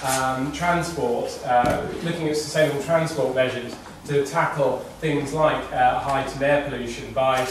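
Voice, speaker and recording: A man's voice: medium pitch, steady tone, unhurried, few pauses, background noise. A young man, a parliamentary candidate, giving a campaign speech at a public meeting, fluent but full of ums and uhs. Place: meeting room with audience. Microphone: far room camera. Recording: echoey room from far off.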